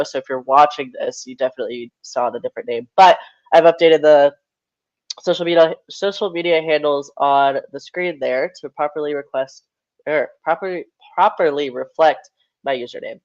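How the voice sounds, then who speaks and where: A man's voice: on the high side, a little mellow, dry recording. A young man with a stuffed-up, nasal voice hosts a casual podcast, reading out stats and updates with plenty of ums and restarts. The sound is dry and a little dull, like a modest home mic. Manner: rambling, self-correcting with fillers. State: congested, nasal. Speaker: podcast host.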